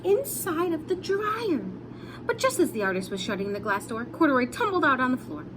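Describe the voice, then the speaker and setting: A woman reads a picture book aloud to young children. Her voice is fairly high and swings widely in pitch, very expressive and clear in intent. Noticeable noise runs behind it. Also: a little fast. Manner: explains words simply as she reads. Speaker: storybook reader.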